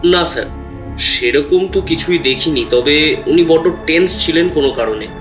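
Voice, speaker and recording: Polite hotel employee, man acting higher-voiced. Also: normal speed, over music, close to an everyday voice. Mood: deferential, explaining. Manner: polite, explanatory. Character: deferential male hotel employee answering police questions. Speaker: voice actor in an audio detective drama. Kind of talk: acted dialogue with background music.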